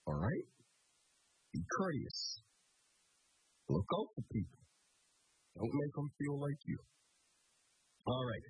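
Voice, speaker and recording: A man's voice: medium pitch, darkish tone, slightly rough, poor audio. A man hosting a morning talk show, stuffed up from a cold, chatting loosely with many fillers.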